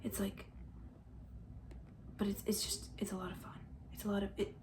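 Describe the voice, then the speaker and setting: A woman speaking in a soft, breathy near-whisper, rambling gently and hesitantly in casual ASMR style as a comforting camp counselor. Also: medium pitch, little pitch movement, moderate pace. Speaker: ASMR roleplay creator.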